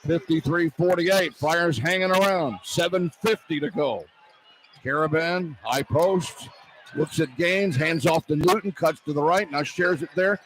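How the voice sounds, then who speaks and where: High-pitched male play-by-play announcer, noisy arena. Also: fairly bright tone. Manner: clipped, rapid-fire phrases that build in urgency. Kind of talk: live basketball play-by-play commentary. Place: courtside in an indoor arena. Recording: live arena broadcast with clear background noise. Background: noisy arena ambience.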